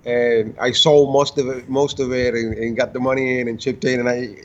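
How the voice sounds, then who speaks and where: Halting older man, muffled lo-fi sound. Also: medium pitch, little pitch movement. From Dominican Republic, raised in Puerto Rico. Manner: halting, many restarts, laughs between stories. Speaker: guest, car builder and tuner. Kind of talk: casual interview storytelling. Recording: dull lo-fi sound.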